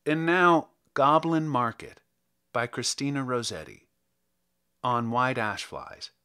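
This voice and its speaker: A man reading scripted literary narration and verse as a podcast host, in a measured, theatrical manner. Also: mid-range voice, lively intonation, sharp tone, clear, resonant tone.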